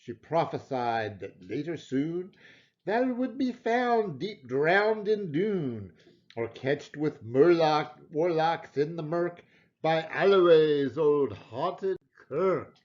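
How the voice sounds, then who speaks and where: A man recites an old narrative poem with theatrical relish. His fairly high voice swings widely in pitch, and he takes his time over each phrase. The delivery is expressive storytelling in Scots. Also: slow, muffled recording. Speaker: poetry reader.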